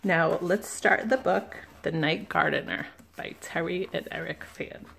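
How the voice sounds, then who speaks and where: A woman, a children's librarian, reading a picture book aloud slowly and gently, stopping to ask the listeners questions. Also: fairly low pitch, creaky, slight background noise. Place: indoors at a table, camera above the book.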